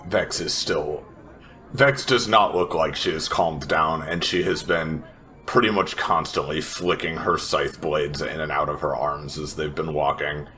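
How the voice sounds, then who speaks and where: A man's voice: a bass voice, steady tone, a little slow, pushed and bright. A man narrating a character's actions as a player in a tabletop role-playing game.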